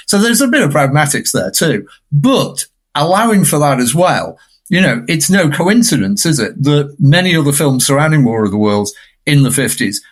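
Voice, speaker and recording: A man's voice: fairly high pitch, big pitch swings, moderate pace. A man speaking as a film scholar in an unscripted podcast discussion, discursive and fond of tag questions.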